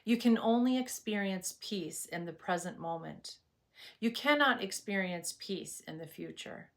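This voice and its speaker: A woman teaching meditation in a scripted spiritual talk, with a soothing, measured and reverent delivery. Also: mid-range voice, expressive, a bit bright.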